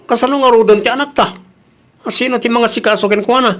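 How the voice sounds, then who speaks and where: A man's voice: very high-pitched, fairly even intonation, fast talker, a bit bright. A man playing a stingy, demanding husband in an audio drama, complaining and controlling, with an Ilocano accent. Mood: challenging. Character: stingy, demanding husband Daniel, turning anxious.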